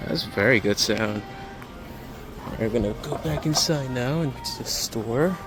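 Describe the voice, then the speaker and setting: A man's voice: medium pitch, a little slow. A man giving casual, matter-of-fact walk-through commentary as a hobbyist vlogger inside a large store. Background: background music in a store.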